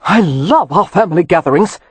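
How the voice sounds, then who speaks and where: Woman voicing a wry, sardonic gentleman. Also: on the low side, lively intonation, moderate pace, husky, no echo. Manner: wry, ironic.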